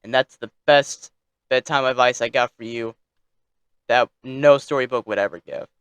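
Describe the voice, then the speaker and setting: A man's voice: medium pitch, normal speed, smooth, no room sound. A man telling a bedtime story as narrator, theatrical, with playfully snippy asides.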